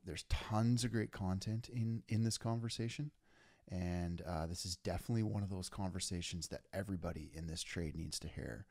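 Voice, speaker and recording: Podcast host, male, very low gravelly voice, earnest and chatty. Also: darkish tone, no echo, studio quality. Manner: earnest, enthusiastic, many fillers and restarts. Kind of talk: casual podcast intro and interview.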